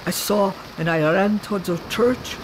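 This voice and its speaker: A woman playing a weary refugee father who tells his daughter about her birth, hushed and grave, slipping German words into his talk. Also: medium pitch, moderate pace, slight background noise, natural voice, poor audio. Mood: tender, grave. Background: music.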